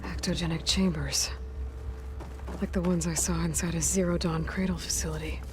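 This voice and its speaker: A determined young woman explorer, voiced by a game actress, speaks in a lowish voice with music playing behind her. She is guarded and focused, as if thinking things through aloud in a video game. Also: steady tone, normal speed, close to an everyday voice. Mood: focused, musing.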